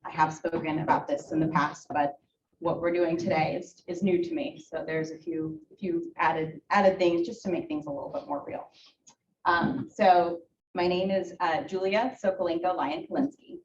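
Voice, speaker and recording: Halting Ukrainian-born woman, far and echoey. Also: fairly low pitch, steady tone, a little hiss or hum. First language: Russian. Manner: halting, many fillers and restarts. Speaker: guest speaker. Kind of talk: personal talk to a club. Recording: echoey room from far off, dull.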